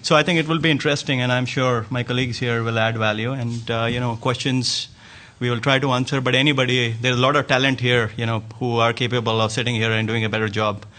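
A man's voice: medium pitch, steady tone, fast, sharp tone. Friendly, jokey man on a panel. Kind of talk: self-introduction at a conference panel. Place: hotel conference room, seated at a panel table. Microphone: table microphone.

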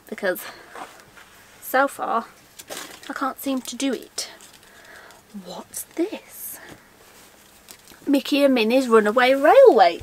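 Chatty woman with a high voice. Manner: chatty, self-mocking, asks herself questions aloud. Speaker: vlogger. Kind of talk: casual haul vlog. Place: indoor room lined with shelves of backpacks.

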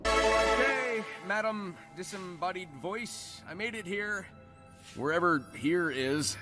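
A man's voice: high voice, slow. A man voicing a cocky, upbeat teenage hedgehog hero in a video game, breezy and wisecracking. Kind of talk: animated video game cutscene acting. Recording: music under the voice.

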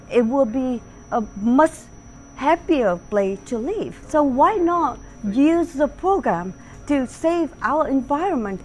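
Bright-voiced enthusiastic restaurant owner woman. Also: high voice. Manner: enthusiastic, heartfelt storytelling. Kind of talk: interview testimonial. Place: her restaurant dining room.

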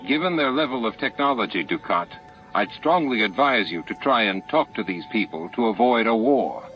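A man voicing a steady, dutiful starship captain in an audiobook, frank and measured. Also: on the low side, natural voice. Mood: dry, firm. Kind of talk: audiobook character dialogue. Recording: muffled lo-fi audiobook with music.

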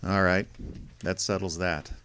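An actor playing a tough, wry, unflappable private detective with clipped, sardonic delivery in an old-time radio drama. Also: male voice, deep voice, level tone, a little slow, fairly bright tone, smooth. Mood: cool, wry. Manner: clipped, hard-boiled, sardonic.

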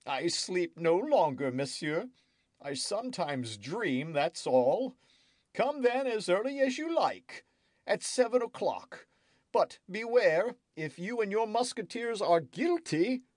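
Casting: male narrator voicing a querulous French king, raised pitch, swooping lines, dry close mic. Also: big pitch swings, slow, darkish tone, dry recording, exaggerated voice. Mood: wry. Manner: querulous, demanding, theatrical. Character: petulant suspicious young French king. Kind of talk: audiobook character voice.

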